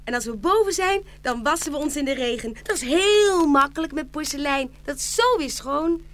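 A woman's voice: high voice, animated, normal speed, fairly bright tone. An actress playing a young porcelain shepherdess in an audio fairy tale, sweet and devoted, girlish and pleading. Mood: eager.

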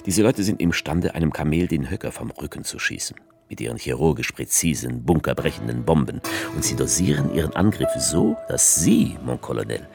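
An actor playing a sardonic author figure who narrates and guides the dictator in a radio play. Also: male voice, a bass voice, expressive, brisk, vocal fry, hardly any pauses, a little mellow, exaggerated voice. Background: music.

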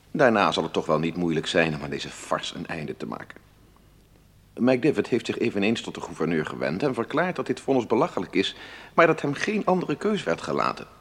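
Low gravelly animated male lawyer. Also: exaggerated voice. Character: male defence lawyer writing exasperated letters to a friend. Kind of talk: radio drama letter monologue.

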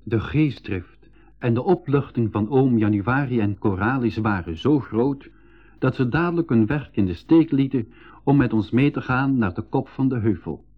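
A man playing an eager but sceptical brother and narrator in a 1980s educational radio drama, clearly enunciated, in a dull recording. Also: medium pitch, lively intonation, normal speed, lightly acted. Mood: narrating.

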